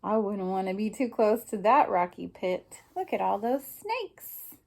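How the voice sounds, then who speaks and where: A woman's voice: medium pitch, big pitch swings, a little slow, creaky, darkish tone. A woman teacher reading a picture book aloud to young children, explaining simply and prompting them with questions.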